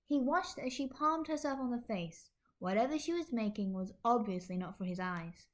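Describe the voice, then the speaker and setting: A woman's voice: fairly high pitch, animated, normal speed. A woman narrating fan fiction expressively as a podfic reader.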